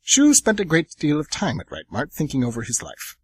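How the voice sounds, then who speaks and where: Male narrator for an audio drama, animated delivery, a bit breathy. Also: a little high, a little fast, dry recording. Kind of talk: scripted storybook narration.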